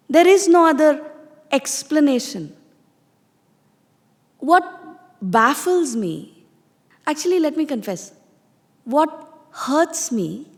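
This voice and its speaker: An actress with an Indian accent playing a poised, self-satisfied woman novelist giving a theatrical, confiding address in a reverberant space. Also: high-pitched. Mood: defensive, indignant. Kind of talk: stage monologue reading. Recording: reverberant hall.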